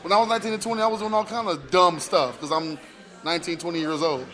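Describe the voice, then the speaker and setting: A high-pitched American man co-hosts a sports radio show. He talks in loose, slangy banter and doubles up on words and phrases to drive his point home, in an animated way. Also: quick pace.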